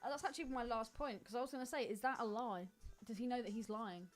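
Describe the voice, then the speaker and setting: A woman's voice: a little high, quick pace, soft background music. A British woman co-hosting a film podcast in casual banter, argumentative, sweary and teasing.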